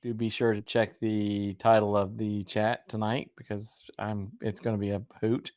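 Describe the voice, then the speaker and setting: A man co-hosting a livestream with casual, jokey banter, his voice raspy and hoarse from talking all day. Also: lowish voice, flat delivery, unhurried, darkish tone, dry recording, studio quality. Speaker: livestream co-host.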